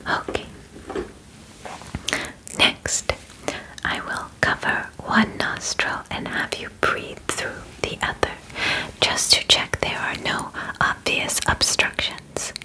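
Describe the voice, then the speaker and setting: A young woman in a soft, breathy whisper, speaking calmly and clinically in an ASMR examination roleplay, close to the microphone. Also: poor audio. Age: young adult. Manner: slow, calm, clinical, formal phrasing. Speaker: ASMR performer. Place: small bedroom, indoors. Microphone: close microphone, out of frame.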